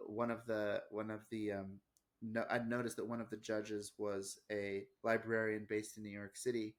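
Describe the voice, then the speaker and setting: An American man co-hosting a casual podcast discussion, speaking in a thoughtful, measured and earnest way. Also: fairly low pitch, fairly bright tone. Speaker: podcast co-host.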